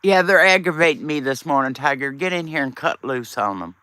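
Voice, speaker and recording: A man's voice: on the high side, expressive, brisk, bright, forceful tone, no echo. A man, chatting casually and teasingly with viewers on his live stream. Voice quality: raspy.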